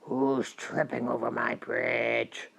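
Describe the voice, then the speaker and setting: A woman voicing a big, ugly, bullying male troll in a storybook tale, roaring slowly and threateningly. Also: extremely low pitch, level tone, creaky, breathy edge, voice pushed down, full character voice. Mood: roaring challenge. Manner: roaring, threatening, slow and drawn-out. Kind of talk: storybook character voice.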